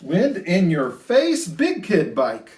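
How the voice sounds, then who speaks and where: A man hosting a children's storytime, reading picture books aloud in an expressive, sing-song way with drawn-out phrases. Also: high-pitched. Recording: roomy, echoey indoor sound.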